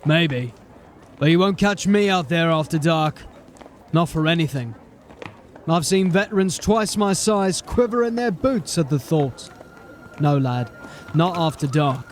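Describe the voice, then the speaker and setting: Male actor as a blunt, jaded city-watch sergeant, plainspoken, slightly high voice, audio drama. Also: measured pace, long pauses, slight background noise. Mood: steady, grim. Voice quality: plain-spoken, grim and steady.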